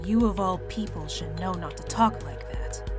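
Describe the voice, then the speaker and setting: A woman's voice: fairly low pitch, normal speed, natural voice. An actress playing a blunt, guarded female general in an audio drama, with a wry, terse manner. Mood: firm, angry. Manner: wry, terse, sharp-tongued. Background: music.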